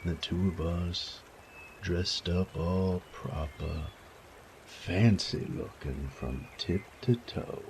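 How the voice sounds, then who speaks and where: Man playing a very deep, gravelly bandit. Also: darkish tone, a little hiss or hum, full character voice. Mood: wry, complaining. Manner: slow, taunting drawl with folksy, profane phrasing. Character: sadistic male bandit, cocky, teasing, menacing rogue. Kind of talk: intimate roleplay audio drama.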